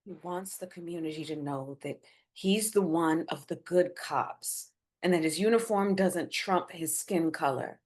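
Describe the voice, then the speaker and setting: A woman's voice: lowish voice, measured pace, lightly acted. A woman playing an earnest, hopeful bereaved mother in a dramatic script reading, pleading and placating yet firm as she argues for forgiveness. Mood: persuading.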